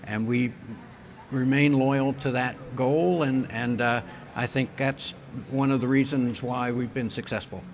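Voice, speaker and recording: An older man, a company president, answering interview questions on a conference stage in a measured, engineer-like way with frequent ums and restarts. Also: medium pitch, fairly even intonation. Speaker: wind turbine company president.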